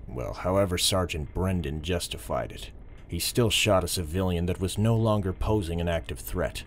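Low, controlled police officer played by a man, firm and respectful, audio drama, light noise behind. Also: normal speed. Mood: firm, defensive.

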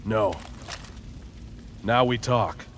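An actor playing a tough, pragmatic gang leader in a video game, terse and commanding. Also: male voice, lowish voice, very slow, fairly bright tone, a little hiss or hum. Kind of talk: video game cutscene acting. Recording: music behind the voice.

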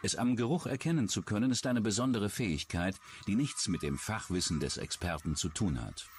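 A man narrating a true-crime documentary in a measured, suspenseful way from a script. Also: lowish voice, expressive, slight background noise. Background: background music.

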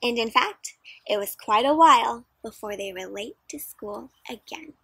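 A young woman doing an expressive, interactive picture-book read-aloud for children, putting questions to the listener. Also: a little high, normal speed, creaky, slightly rough, no room sound, rough recording. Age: young adult. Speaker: children's story reader. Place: outdoors in a backyard with play structure.

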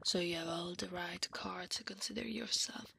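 A woman voicing an affectionate, teasing, clingy slime wife in a soft, half-whispered ASMR roleplay. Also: lowish voice, normal speed, slight background noise, exaggerated voice. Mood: affectionate, soothing. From Italy. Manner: soft, breathy half-whisper, intimate, coaxing.

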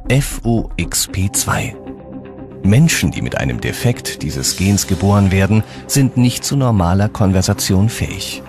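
Guy narrating a documentary, lowish voice, scripted, music behind him. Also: expressive, measured pace.

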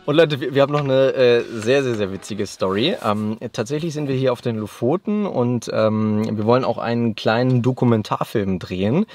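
A man's voice: mid-range voice, moderate pace, hardly any pauses, faint music behind. Chatty young man in a van. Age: young adult. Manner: chatty, excited, many fillers. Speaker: vlogger and filmmaker. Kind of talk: casual travel vlog chat. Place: inside a van.

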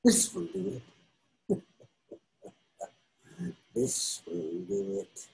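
A man's voice: on the low side, huge pitch swings, very slow, gravelly, mellow, roomy sound, exaggerated voice. An older man voicing a scheming male devil disguised as a friar in a readers theater piece over a video call, drawn out and gloating.

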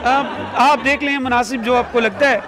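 A man's voice: extremely high pitch, fairly even intonation, a crowd in the background. A man in his forties with a Pakistani accent, answering an interview politely as a rescue service spokesman. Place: emergency call-centre control room. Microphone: reporter's handheld microphone held to him.

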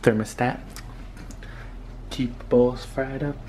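A young man giving a joking, relaxed tour of a small apartment for a vlog. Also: mid-range voice, slow. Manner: joking, relaxed, plays host. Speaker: vlogger. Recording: noisy handheld home video.

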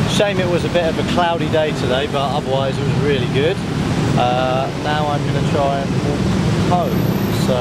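Young male travel vlogger, chatty and upbeat, mid-pitched voice, handheld camera audio with loud street noise. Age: young adult. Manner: chatty, upbeat running commentary while walking. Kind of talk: casual travel vlog. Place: outdoors in a city and indoors. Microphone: handheld action camera.

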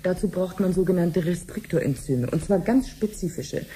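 An actress playing a friendly, patient cancer researcher in a radio drama, explaining things clearly like a teacher. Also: female voice, lowish voice, expressive, normal speed, warm tone. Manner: didactic, clearly phrased explaining. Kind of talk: 1970s German radio drama acting.

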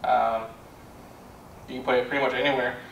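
A young man giving a casual product demonstration in a plainspoken, low-key way, recorded indoors on a distant camera mic. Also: level tone, fairly quick, gravelly, fairly bright tone, dull audio. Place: bare indoor room with carpet. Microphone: far camera. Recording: roomy echo, distant mic, background noise.

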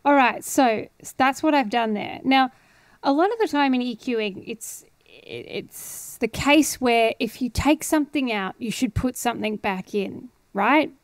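A woman's voice: on the high side, unhurried, no echo. A woman, chattily explaining things in a casual screen-share tutorial. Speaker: audio producer giving a tutorial. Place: indoor home studio. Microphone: headphones and close studio microphone.

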